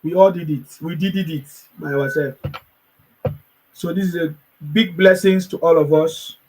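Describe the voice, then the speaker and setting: Guy, voice gone rough from chanting, talks slow and high, happy, keeps repeating himself to his people, faint music behind. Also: steady tone, a little hiss or hum, rough recording. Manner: joyful, repetitive, exhorting. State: voice worn from chanting. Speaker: political supporter addressing followers. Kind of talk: excited celebratory address.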